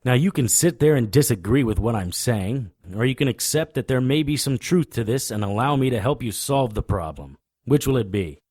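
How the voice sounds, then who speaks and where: An actor playing a wry, stubborn occult investigator in an audio drama, talking and narrating in a conversational noir style. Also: male voice, mid-range voice, expressive, normal speed, hardly any pauses, darkish tone, dry recording, lightly acted. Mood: wry, insistent.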